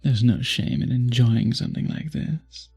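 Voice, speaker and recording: A man voicing a charming, seductive vampire in a romantic ASMR roleplay, husky and breathy with a courtly, teasing manner, often dropping to a whisper. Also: medium pitch, a little mellow, soft background music. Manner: slow, courtly, teasing, flirtatious. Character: charming, seductive male vampire at a masquerade ball.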